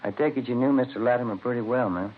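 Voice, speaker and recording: An actor playing a plainspoken, skeptical police detective in a 1950s radio drama, understated and matter-of-fact. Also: male voice, mid-range voice, fast, lightly acted. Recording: dull old radio recording with hiss.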